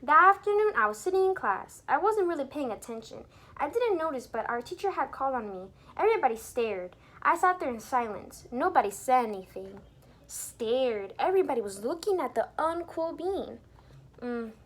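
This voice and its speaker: A woman reading a picture book aloud to young children in an expressive, teacherly way, pausing to explain things and put questions to the listeners. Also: high voice. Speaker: children's storybook reader and teacher. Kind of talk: animated read-aloud for young children with asides explaining words.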